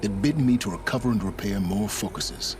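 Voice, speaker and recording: An actor playing a learned, calculating older man in a video game, speaking in a measured, self-assured and deliberate way. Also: lowish voice, noisy background, close to an everyday voice. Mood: absorbed recollection. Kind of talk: dramatic video game acting. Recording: music behind the voice.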